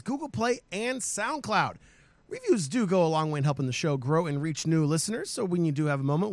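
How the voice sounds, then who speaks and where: Hoarse male podcast host, energetic and audience-rousing, slightly high voice, close and dry. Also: no echo. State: hoarse, losing his voice. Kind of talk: upbeat gaming podcast chat.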